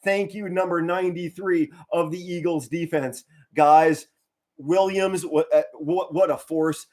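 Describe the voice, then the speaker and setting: A hoarse middle-aged man from Pennsylvania doing casual live play-by-play as a fan, mostly low and subdued with bursts of excitement. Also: measured pace. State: hoarse, straining to save his voice. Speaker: fan commentator on a live stream.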